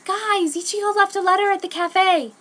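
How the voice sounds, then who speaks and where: A woman voicing a shy, excitable girl in a dramatic story reading, with an eager manner. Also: moderate pace. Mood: alarmed. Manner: high, eager.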